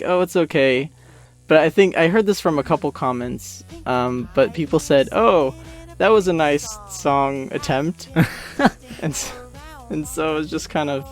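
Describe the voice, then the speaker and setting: A man giving a casual, rambling and self-deprecating commentary on an animated series he made. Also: fairly high pitch. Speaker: creator of an animated parody series, commentary host. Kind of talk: casual commentary track chat.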